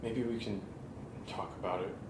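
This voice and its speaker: A man's voice: fairly low pitch, steady tone, moderate pace, lightly acted. A man playing a concerned, insistent friend in a naturalistic horror audio drama, conversational and earnest. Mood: serious, concerned. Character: Steve, Nate's concerned, insistent drinking buddy. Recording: echoey room with noisy ambience.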